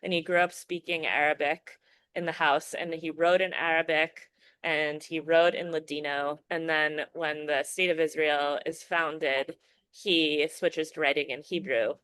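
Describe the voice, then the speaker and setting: Woman, low-pitched, dry close sound, thoughtful podcast guest, frequent restarts. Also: steady tone, measured pace, fairly bright tone, clear, resonant tone, dry recording. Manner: thoughtful, restarts often, many fillers like "you know". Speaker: filmmaker and educator guest. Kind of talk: casual podcast interview.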